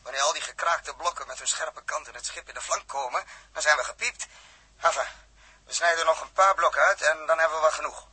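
A male actor playing a brisk, practical spaceship captain in a Dutch radio drama from the 1960s, with a Netherlands accent and a commanding, matter-of-fact manner. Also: a little high, sharp tone, slightly rough, no room sound, heavily acted. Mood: urgent, commanding.

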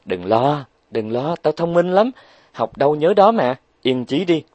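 A man's voice: a little high, sing-song, unhurried, dry recording, pitched above the performer's own voice. Man voicing a brash, bragging young woman. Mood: boastful. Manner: loud, bragging, bossy. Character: brash boastful young woman, rich and bossy.

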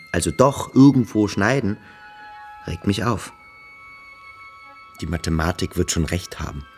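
Brooding schoolboy, deep voice. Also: male voice, darkish tone. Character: Törleß, brooding, searching younger schoolboy, troubled but defiant. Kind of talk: German literary radio drama acting.

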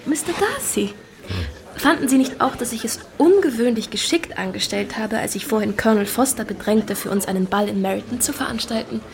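An actress in a German radio drama playing a witty, spirited young gentlewoman, playful, teasing and self-possessed. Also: fairly high pitch, brisk, vocal fry. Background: music.

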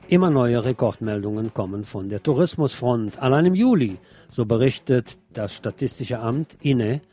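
A man's voice: medium pitch, mellow, breathy edge. A man presenting scripted news as a commentator, with a sardonic, ironic manner. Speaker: presenter of a local news programme.

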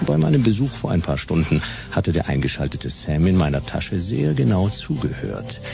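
A hard-boiled private eye telling his case in a radio drama. His voice is very deep and gravelly with a varied melody. The delivery is wry, laconic and slangy, in short clipped sentences. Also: sing-song, normal speed, over music, heavily acted. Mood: wry, determined. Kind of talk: first-person detective radio play narration.

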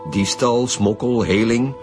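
An actor playing a curt, commanding Flemish chief detective in a radio drama. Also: male voice, medium pitch, fairly even intonation, takes it slowly, a little mellow, faint music behind, lightly acted. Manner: curt, commanding, gives orders.

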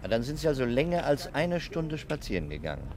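An actor in a 1960s German radio play playing a calm, courteous male fire investigator who asks shrewd, measured questions. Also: medium pitch, lightly acted. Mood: courteous, probing. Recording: vintage radio recording with background noise.

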